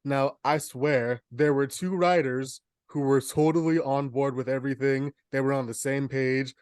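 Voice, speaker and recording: A young man talks as a podcast co-host, spinning humorous stories in a casual way. His voice sits at an ordinary male pitch, and the sound is dry with hardly any room in it. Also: steady tone, normal speed, a little mellow, smooth, no room sound. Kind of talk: casual chat, humorous storytelling.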